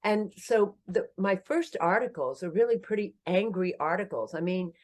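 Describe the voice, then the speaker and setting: A woman in her sixties plays a chatty author telling stories in a podcast interview, wry about her own age and laughing at her own jokes. Her voice sits in a middle register and swoops up high for punchlines. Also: moderate pace. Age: 60s. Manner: animated, self-deprecating, laughs often, pitch leaps when joking. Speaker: podcast guest, author and company founder. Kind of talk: casual interview storytelling.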